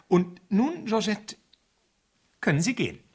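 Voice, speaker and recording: An actor in a German radio play voicing a fussy, inquisitive gentleman who is probing, hesitant and a bit dismissive. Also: high-pitched, big pitch swings, measured pace, breathy edge, exaggerated voice. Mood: patronising. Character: inquisitive, fussy gentleman questioning his cleaning woman.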